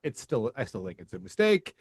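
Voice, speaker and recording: A man co-hosting a podcast in casual chat, animated and laughing often, restarting his sentences as he talks. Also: mid-range voice, brisk, husky, no room sound, studio quality. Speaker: podcast co-host.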